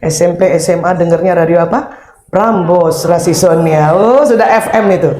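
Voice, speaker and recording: A woman in her fifties giving a lively, humorous parenting seminar, chatting with her audience and quizzing them with questions. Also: lowish voice, normal speed. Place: seminar hall before an audience.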